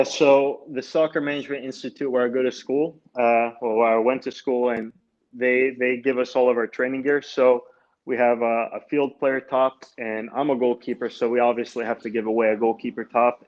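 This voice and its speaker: A young man in his twenties, Greek his first language, talks in a relaxed, hesitant way with lots of restarts. His pitch stays level and narrow, and the video-call audio sounds dull and lo-fi. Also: moderate pace. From United States. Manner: easygoing, hesitant with many restarts. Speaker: guest, amateur runner and goalkeeper. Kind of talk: casual interview answers.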